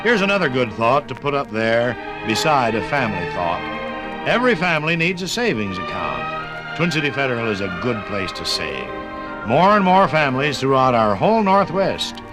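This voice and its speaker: An American man announces a vintage radio advertisement. His mid-pitched voice moves expressively in a folksy, persuasive sales style. Music plays clearly behind him. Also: very animated, moderate pace. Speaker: sponsor announcer.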